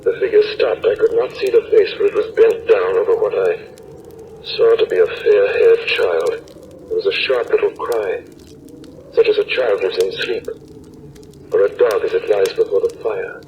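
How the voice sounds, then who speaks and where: A low-voiced, earnest doctor played by a man narrates a gothic diary in 1930s radio style. The delivery is dramatic and deliberate, heavy with dread. The old broadcast is muffled, with some background noise. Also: fairly even intonation, darkish tone, lightly acted. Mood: hushed dread. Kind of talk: 1930s radio horror acting.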